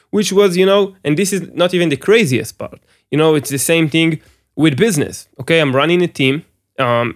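A man hosting a solo podcast, speaking earnestly through throat pain, with frequent restarts, repeated words and questions to the listener. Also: medium pitch. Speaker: podcast host.

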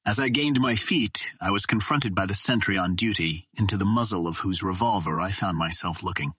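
A man narrates an adventure story in a low, rough-edged husky voice. His delivery is measured and dramatic, like an audiobook, and the recording is close and dry. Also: pushed and bright, no room sound. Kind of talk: scripted narration of an adventure novel.

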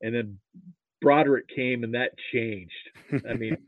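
A man's voice: mid-range voice, no echo. Male interview guest from Nebraska, casual and rambling, repeats himself, narrow telephone-like sound. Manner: rambling, halting, repeats words. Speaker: interview guest, sports history archivist. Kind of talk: casual reminiscing about football.

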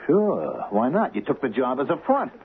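An actor playing a nervous, bullying crook who snarls threats and then turns wheedling, in a radio drama. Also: male voice, mid-range voice, wildly swooping pitch, moderate pace, slight background noise. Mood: tense. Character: nervous, bullying male crook waiting on a heist payout. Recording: tinny lo-fi radio broadcast.

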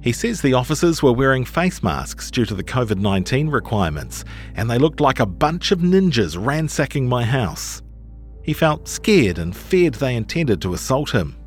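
A man's voice: mid-range voice, big pitch swings, moderate pace, soft background music. A man with a New Zealand accent reading scripted journalistic narration for a podcast. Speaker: narrator of a long-read podcast.

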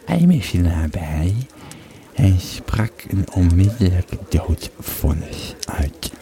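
A man performs an aged Roman soldier in an audio drama, deep in pitch and unhurried. He stammers and drifts off, then picks up his uneasy tale again. Busy chatter and birdsong sit behind him. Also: very animated, vocal fry, exaggerated voice. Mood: weary, grave. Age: old man as played. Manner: halting, stammering, losing his thread. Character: old, drunken Roman legionary recounting a frightening tale. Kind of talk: first-person audio drama storytelling.

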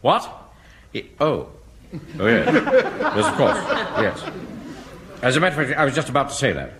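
An actor playing a pompous, easily flustered middle-aged English Home Guard captain in a British sitcom, speaking in clipped, officious commands. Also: male voice, fairly high pitch, wildly swooping pitch, moderate pace, vocal fry, exaggerated voice. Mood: flustered, covering up. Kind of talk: British sitcom acting before an audience. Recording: noisy old radio comedy recording.

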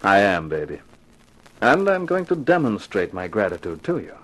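Wry hard-boiled radio detective. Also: male voice, fairly low pitch, sing-song, moderate pace, a bit bright. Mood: cool, wisecracking. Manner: wry, slangy, tough. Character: hard-boiled wisecracking male private detective narrating his case. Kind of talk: 1950s radio detective drama acting. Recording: noisy vintage radio recording.

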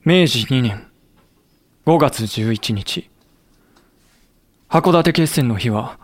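An actor playing a composed, grave samurai official who speaks and narrates in a measured way in a Japanese period voice drama. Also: male voice, mid-range voice, lively intonation, no room sound. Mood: solemn.

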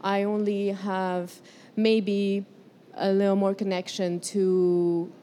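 A woman from Morocco teaching a spiritual herbalism class, leading a guided breathing exercise and speaking gently, unhurried, and often searching for words. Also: mid-range voice, little pitch movement, slight background noise.

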